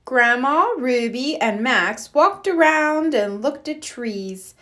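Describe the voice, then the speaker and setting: Female read-aloud storyteller for young children: high, animated, unhurried, carefully phrased. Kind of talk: children's picture book narration.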